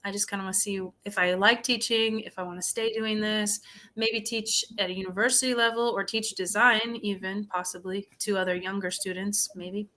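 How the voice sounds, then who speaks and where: A young woman with a bright, crisp voice, chatting casually as an English tutor. She talks in a loose, hedging way, full of "like" and "I don't know". She is heard over a video call. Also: mid-range voice, normal speed. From United States. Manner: relaxed, hesitant, lots of "like" and "I don't know". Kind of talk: casual conversation practice.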